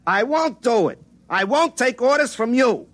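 An actor playing an excitable, folksy small-town phone repairman who tells his own story in a classic radio drama, wry and easily flustered. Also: very high-pitched, unhurried, dry recording, exaggerated voice. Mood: indignant. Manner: folksy, wry narration turning to flustered, stammering pleading. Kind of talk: classic radio drama acting with first-person narration. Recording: vintage radio recording, faint music.

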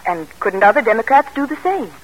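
An actress playing a clever, earnest and devoted seventeen-year-old daughter with refined, polite delivery in an old-time radio drama. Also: female voice, on the high side, big pitch swings, fairly quick. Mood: earnest, eager. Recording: noisy old radio broadcast recording.

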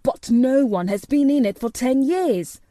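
A woman's voice: mid-range voice, animated, moderate pace, dark tone, no echo, studio quality, lightly acted. A woman voicing an imperious, eager young boy who gives orders decisively in an audiobook. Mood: startled. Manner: decisive, commanding. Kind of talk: audiobook character dialogue.

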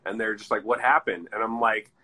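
A man's voice: lowish voice, fairly even intonation, fairly quick, bright, forceful tone. A man in his forties hosting a casual podcast, rambling through anecdotes and swearing freely. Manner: rambling, profanity-laced storytelling. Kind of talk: casual chat.

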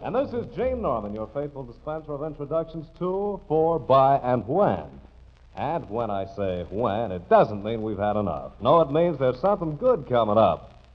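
A man's voice: medium pitch, very animated, normal speed. A man announcing an old-time radio variety show with jaunty, rhyming showman patter. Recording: dull old radio recording with band music.

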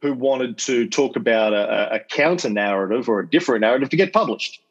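Australian male host, casual lecture with filler and dry asides, middle pitch, slightly dull call audio. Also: lively intonation, fairly quick. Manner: chatty, lots of ums and uhs, wry asides. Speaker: podcast host giving a school lecture. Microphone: video call.

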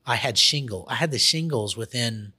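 A man's voice: mid-range voice, lively intonation, pushed and bright, slightly rough. A man with a Southern US accent, a guest talking business in a casual interview, full of restarts and fillers. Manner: halting, many restarts and fillers.

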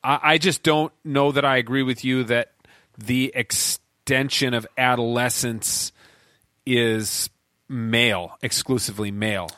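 Man in his forties, bright forceful tone, dry close sound, rambling talk full of restarts. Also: medium pitch, steady tone, unhurried, no room sound. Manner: rambling, many restarts and fillers. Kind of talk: casual conversation.

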